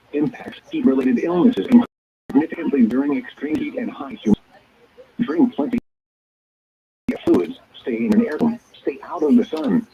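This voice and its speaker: Synthetic man's voice, weather radio alert reading, wildly swinging intonation, gravelly creak, stilted formal phrasing, radio broadcast sound. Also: on the high side. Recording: tinny, narrow-band weather radio signal.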